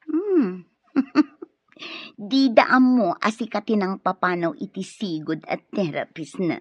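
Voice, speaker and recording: A woman's voice: medium pitch, lively intonation, slow pace, husky, no room sound. A woman with an Ilocano Filipino accent acting a sly, greedy accomplice in a radio drama. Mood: sly, gleeful. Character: conniving, greedy female accomplice to a schemer. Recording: dull, dry lo-fi radio.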